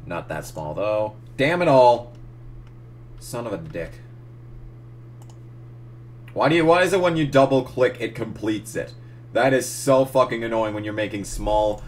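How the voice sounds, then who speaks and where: A young man losing his voice, casually narrating a photo edit, muttering and swearing at the software. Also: lowish voice, a little hiss or hum. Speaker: gaming channel host. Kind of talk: casual tutorial commentary while editing.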